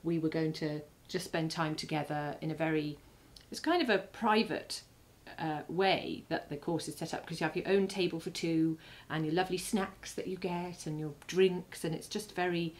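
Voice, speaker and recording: A woman in her thirties giving a warm, casual testimonial on a living room sofa. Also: low-pitched, steady tone, normal speed, hardly any pauses. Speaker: married couple sharing a testimonial.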